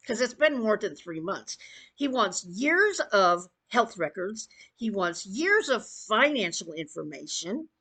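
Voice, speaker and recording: A woman's voice: on the high side, a bit bright. A woman giving an opinionated rant as an online commentator, blunt, emphatic and scornful in her delivery. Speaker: YouTube commentator.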